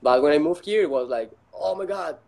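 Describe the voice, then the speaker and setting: A man who speaks English as a second language, talking casually as an interview guest in a halting, repetitive way with many fillers. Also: a little high, animated, gravelly, a little mellow. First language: not English.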